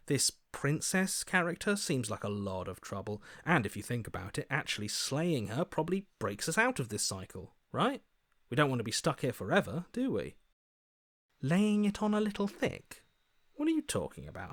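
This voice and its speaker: An actor playing an ingratiating, two-faced schemer in a video game, with a glib, wheedling way of talking. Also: male voice, medium pitch, dry recording, clean studio sound. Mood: fawning. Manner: glib, wheedling, flattering, backpedalling. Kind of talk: video game character voice acting.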